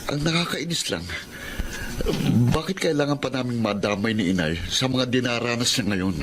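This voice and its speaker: A man's voice: mid-range voice, moderate pace, noisy background, close to an everyday voice. A man playing a bitter, resentful son. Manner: bitter, brooding.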